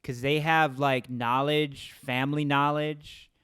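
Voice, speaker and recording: A man's voice: a little high, flat delivery, slow pace, a bit bright, smooth, no room sound, clean studio sound. An American man in his thirties interviewing someone casually, informal and emphatic, often seeking agreement with "right?" and "you know". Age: 30s. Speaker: interviewer, host.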